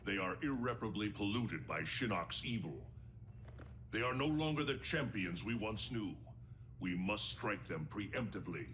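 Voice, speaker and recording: Grim, deep-toned thunder god. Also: male voice, expressive, normal speed, a bit bright, slight background noise. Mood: grave, resolute. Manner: grave, formal, declamatory. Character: grim, solemn male thunder god, protector of Earth. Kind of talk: dramatic video game acting.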